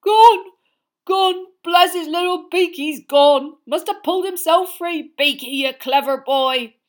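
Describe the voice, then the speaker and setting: A woman voicing a huge, soft-hearted male groundskeeper with a husky voice, shouting in an audiobook reading. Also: very high-pitched, slow pace, voice pushed up. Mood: overjoyed.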